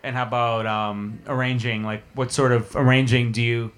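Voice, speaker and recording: A man interviewing a musician, asking loose, halting questions and nodding along with frequent "mm-hmm.". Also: medium pitch, level tone, fairly bright tone. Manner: halting questions with restarts and many "mm-hmm" backchannels.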